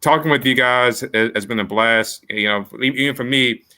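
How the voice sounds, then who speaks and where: A man's voice: medium pitch, moderate pace, bright, forceful tone, clean tone. A man hosting a podcast in casual interview chat, speaking in an informal, folksy and appreciative way. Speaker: podcast host.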